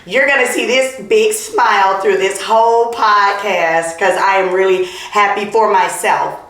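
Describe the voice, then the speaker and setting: A middle-aged woman hosting a podcast, excited and loud, repeating herself as she chats without her mic on. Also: medium pitch, a little slow. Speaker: podcast host. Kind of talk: casual podcast chat. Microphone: not wearing her clip-on mic. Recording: roomy echo.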